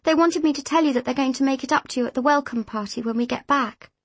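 A woman voicing a gentle, apologetic young girl in a storybook, earnest and conciliatory. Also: high-pitched, fast talker, no room sound, voice pushed up.

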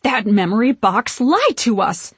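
An imperious eldest witch from a storybook, acted out by a female narrator, quick to anger and fond of old-fashioned scolding. She snaps out her words like orders, in a close, dry sound. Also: medium pitch, animated, husky, no echo. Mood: indignant. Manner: snapping, archaic scolding. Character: imperious, short-tempered witch, eldest of three sisters.

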